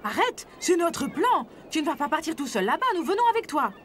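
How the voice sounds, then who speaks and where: Woman voicing a high, animated young cartoon creature. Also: a little fast, a little hiss or hum. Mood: insistent. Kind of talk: French cartoon dubbing.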